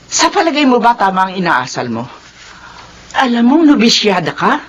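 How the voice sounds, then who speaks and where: An actress playing a stern, suspicious middle-aged Filipino mother in a radio drama, with a raspy voice and sharp, emphatic scolding. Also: mid-range voice, huge pitch swings, normal speed. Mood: accusing.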